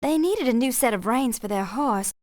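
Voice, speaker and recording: A woman's voice: a little high, animated, moderate pace, darkish tone, no room sound, studio quality, lightly acted. A woman playing a friendly, obliging antiques dealer in a game, with a welcoming way of talking. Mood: welcoming, helpful. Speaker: voice actor. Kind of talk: scripted game character dialogue.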